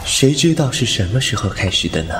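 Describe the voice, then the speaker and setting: A man voicing a thousand-year-old male fox spirit, speaking softly and slowly in a quietly melancholy audio-drama monologue. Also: medium pitch, very animated, noisy background, lightly acted. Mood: wistful. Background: loud music score.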